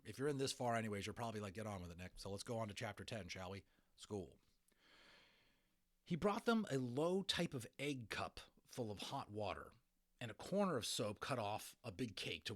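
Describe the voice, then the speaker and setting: A man reading a children's novel aloud as a dramatic, expressive narrator. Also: lowish voice. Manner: expressive, theatrical. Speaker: audiobook reader.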